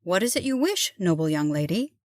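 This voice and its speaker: A woman's voice: lowish voice, very animated, brisk, dry recording, clean studio sound. A woman voicing a bashful, courteous young man in a storybook, polite and reserved. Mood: polite, puzzled. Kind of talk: storybook reading.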